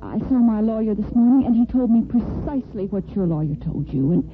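A woman's voice: a little high, expressive, a little fast, background noise, lightly acted. An American woman playing a reserved but tender-hearted single neighbor in an old-time radio soap opera. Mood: flustered confession. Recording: muffled vintage radio broadcast with music.

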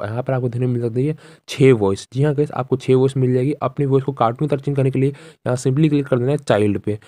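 A man's voice: medium pitch, warm tone, dry recording. A young man narrating a casual step-by-step phone tutorial, repeating instructions as he addresses viewers directly. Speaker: tutorial host.

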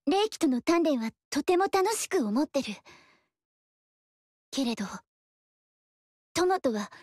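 An actress voicing a polite, eager young woman archer in a video game, talking in a soft, earnest way. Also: high voice, no room sound, studio quality. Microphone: studio mic, dry.

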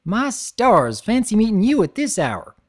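A man's voice: high voice, wildly swooping pitch, a little slow, pitched above the performer's own voice, full character voice. A man voicing a hardworking, cheerful adult farm pony mare with a put-on Southern drawl. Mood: hearty. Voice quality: folksy, drawling.

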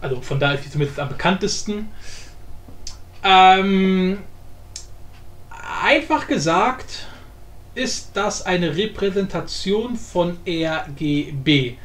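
A man presenting a software tutorial casually, with informal, jokey asides and frequent self-interruptions. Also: sits high, animated, slow pace, long pauses. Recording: roomy echo, faint background noise.

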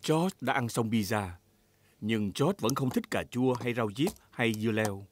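A man's voice: medium pitch, a little slow. A man narrating a children's cartoon in a storytelling way, phrased simply for young viewers. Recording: loud music behind the narration.

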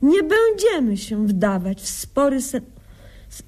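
Young woman doctor, high-pitched, very slow, pompous, stilted wording, comic radio sketch. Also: big pitch swings, darkish tone, heavily acted. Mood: officious, earnest. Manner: solemn, stilted, mangled official phrasing. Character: earnest, pompous young woman doctor narrating her diary. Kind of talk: comic radio sketch acting, mock-literary narration.

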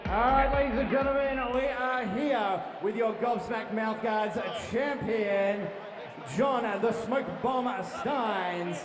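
A man works as ring announcer at a boxing night, stretching out his calls into a handheld mic. His voice sits very high for a man. The ballroom echoes with a long tail, and music and a crowd play behind him. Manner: booming, drawn-out announcements. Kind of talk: ring announcing and post-fight interview. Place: large ballroom boxing event. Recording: echoey hall with crowd noise.

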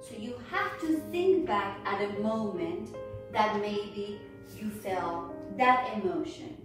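A woman giving an instructional dance lesson as a ballet teacher, with a measured, deliberate, encouraging delivery, recorded indoors on a distant camera microphone. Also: mid-range voice, expressive. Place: indoors, hard-floored home studio room. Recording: echoey room, distant mic, music behind.

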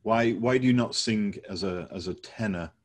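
Husky middle-aged male interviewer. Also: fairly low pitch, moderate pace. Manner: curious, short follow-up questions. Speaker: interviewer, host. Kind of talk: casual video-call interview. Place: home room lined with bookshelves. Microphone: video call.

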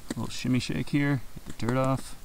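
A man's voice: mid-range voice, flat delivery, a little fast, gravelly, a little hiss or hum, low-quality recording. A young man giving casual, enthusiastic how-to commentary as he forages outdoors in a forest. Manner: enthusiastic, informal. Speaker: mushroom forager and video host.

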